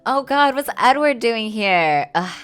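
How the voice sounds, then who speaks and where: A woman's voice: fairly high pitch, animated, unhurried, a bit bright, clear, resonant tone, faint music behind. A woman giving casual, slangy gamer commentary with amused, joking asides. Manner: informal, slangy, amused asides between readings. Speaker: gamer reacting to game cut scenes.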